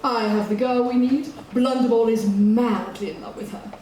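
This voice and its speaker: A woman's voice: on the high side, steady tone, moderate pace, warm tone, natural voice. A woman playing a gleefully wicked, sneering pantomime villainess on a theatre stage, gloating melodramatically. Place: theatre stage before an audience. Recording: echoey theatre with clear noise.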